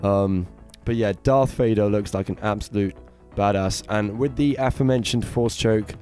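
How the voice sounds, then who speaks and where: A man with a raspy throat, co-hosting a casual gaming news roundup with eager, filler-laden chat. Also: on the low side, normal speed, darkish tone, faint music behind. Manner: enthusiastic, chatty, hesitant fillers. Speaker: co-host of gaming news show.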